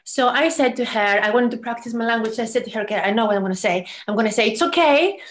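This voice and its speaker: A middle-aged woman from Greece, a mission representative telling a story in a relaxed interview, animated and full of fillers and restarts. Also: fairly high pitch, fast talker. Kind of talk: conversational storytelling.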